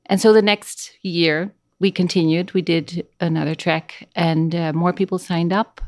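A middle-aged woman from the Netherlands with a Dutch accent, speaking English as a guest in a casual interview, pausing often with fillers as she searches for words. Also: on the low side, steady tone, darkish tone, no echo, clean studio sound.

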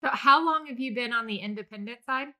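A woman's voice: a little high, fast talker, sharp tone. A woman hosting a podcast, asking questions in a casual, conversational way. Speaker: podcast host, interviewer.

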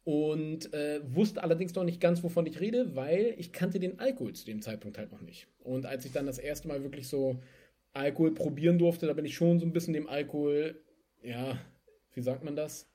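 A lively young man from Germany talks about his background as a guest in an interview, in a casual, chatty way. His voice sits a little higher than most men's, and he laughs now and then while he tells his stories. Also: normal speed. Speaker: guest, spirits entrepreneur.

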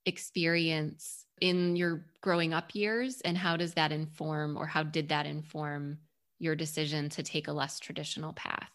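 Woman, low pitch, podcast host, articulate, reflective, conversational. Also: little pitch movement, measured pace, fairly bright tone. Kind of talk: conversational interview.

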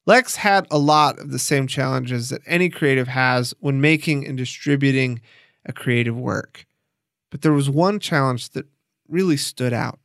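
A man's voice: mid-range voice, normal speed, gravelly, fairly bright tone, no echo, clean studio sound. A man hosting and narrating an audio documentary in a scripted style. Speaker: podcast documentary host and narrator.

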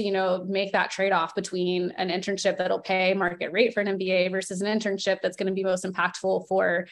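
A woman in her thirties speaking as a podcast guest in a casual, conversational way, with many fillers and light laughs. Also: mid-range voice. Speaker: podcast guest and board member.

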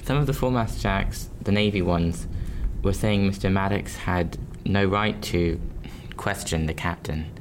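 A man acts as a young sailor lad in a radio play, earnest and worried. The voice is very low, and the delivery is deferential and hesitant. Clear noise runs behind it. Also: a little slow, few pauses. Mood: anxious, questioning. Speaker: actor. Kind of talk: radio drama acting.

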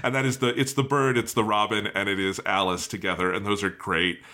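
A man's voice: fairly low pitch, steady tone, a little fast, bright, forceful tone. A man co-hosting a podcast, chatting casually about a review in an informal, amused way with some swearing. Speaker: podcast co-host.

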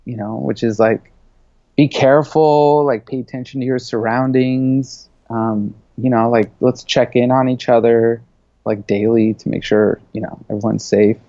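A man's voice: mid-range voice, fairly even intonation, moderate pace, mellow, no echo, dull audio. A man in his thirties, born in Saudi Arabia and raised in the US, speaks as a guest in a casual interview. He talks loosely and leans on "you know" and "like" a lot. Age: 30s. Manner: loose, filler-heavy talk with many "you know"s and "like"s. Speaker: interview guest, media creative.

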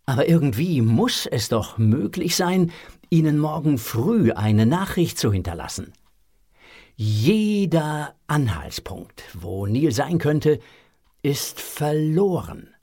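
A man's voice: a little high, very animated, slow pace, mellow, slightly rough. A man narrating a scripted audio story for children.

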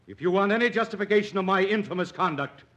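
An actor in an old-time radio drama playing an earnest, idealistic doctor, commanding and oratorical, on a dull vintage recording. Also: male voice, sits high, fast, fairly bright tone. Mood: impassioned, defiant. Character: earnest, defiant idealistic physician pleading his cause.

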